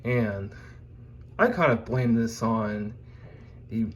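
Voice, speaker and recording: A man presenting his collection in an informal, unscripted home video, speaking in halting, meandering fragments into a phone camera. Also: on the low side. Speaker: YouTuber presenting own collection. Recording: clear background noise and room ambience.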